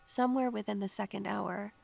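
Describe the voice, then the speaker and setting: Woman as a creaky-voiced, introspective young student. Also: medium pitch, steady tone, fairly quick, darkish tone. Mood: wistful, brooding. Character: melancholy, introspective young female student who hears spirits. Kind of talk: audio drama narration and acting.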